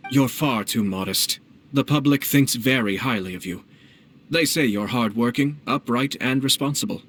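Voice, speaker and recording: A man voicing a composed young nobleman and clan head in a video game, speaking formally and politely in measured phrases. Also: mid-range voice, a bit bright, natural voice. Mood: courteous, gracious. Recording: noisy ambient game backdrop.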